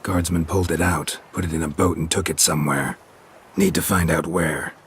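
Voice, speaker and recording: A man voicing a gruff, stoic monster hunter in a video game, speaking in terse, deadpan, clipped phrases. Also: deep voice, normal speed, slight background noise. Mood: terse, businesslike. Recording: music behind the voice. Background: music.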